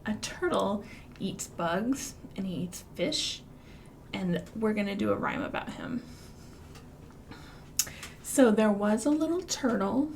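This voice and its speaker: A woman from the American Midwest leading a storytime for young children as a librarian, chanting rhymes and songs in a sing-song way with big excited swoops. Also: a little high, a little hiss or hum.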